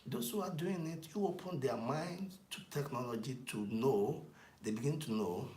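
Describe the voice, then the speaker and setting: A man's voice: mid-range voice, moderate pace, a little mellow. A man preaching a sermon, chanting declarations in rhythmic, emphatic repetition. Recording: echoey room with faint noise.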